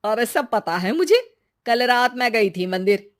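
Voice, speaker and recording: Woman acting inquisitive, high-voiced village woman. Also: animated, measured pace. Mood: knowing, teasing. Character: inquisitive village woman, teasing, eager to help her neighbours. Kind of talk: Hindi animated moral story acting.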